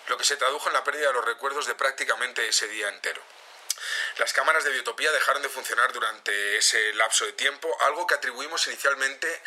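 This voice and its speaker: An actor playing an earnest, stern security chief giving an official, deliberate press conference statement in an audio drama. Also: male voice, medium pitch, bright, forceful tone, breathy edge. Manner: official, deliberate, repeating phrases as he announces. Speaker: head of security.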